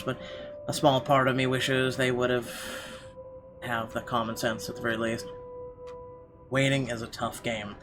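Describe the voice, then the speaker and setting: A man reading a horror visual novel's narration aloud as a gamer, in a dramatic storytelling way with occasional stumbles. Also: mid-range voice. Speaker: let's-play gamer. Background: game music.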